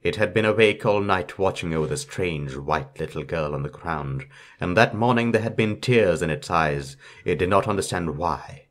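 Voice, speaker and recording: A man reading a fairytale aloud as a storyteller, in scripted narration. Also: extremely low pitch.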